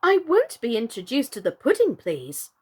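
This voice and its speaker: A well-mannered young girl, polite but a touch nervous, voiced by a woman. She speaks a little higher than the storyteller, careful and hesitant. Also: huge pitch swings, normal speed, a little mellow, husky, full character voice.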